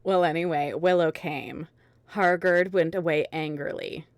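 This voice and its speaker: A woman's voice: fairly low pitch, fairly even intonation, a little slow, dry recording, clean studio sound. A woman hosting and narrating an amateur dramatic reading, with casual chat along the way. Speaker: host and narrator.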